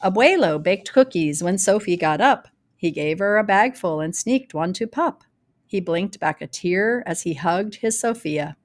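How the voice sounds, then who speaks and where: A woman reads a children's picture book in rhyme. Her pitch sits in the middle for a woman. She tells it expressively and deliberately, leaning on key words for dramatic effect. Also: animated. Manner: dramatic, deliberate storytelling with varied emphasis. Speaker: storybook reader.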